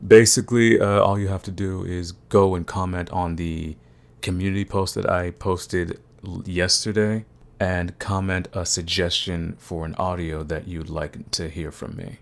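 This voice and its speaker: A man's voice: deep voice, fairly even intonation, a little slow, gravelly. A man hosting his audio channel, giving a casual, rambling update to his listeners. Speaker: audio roleplay creator, channel host.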